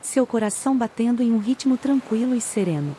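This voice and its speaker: Mellow Brazilian woman guiding meditation over waves. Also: on the high side, slight background noise. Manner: slow, calm, soothing delivery with long pauses. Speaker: guided meditation narrator. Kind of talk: guided meditation, scripted narration. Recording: ocean waves behind the voice. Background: sound of sea waves.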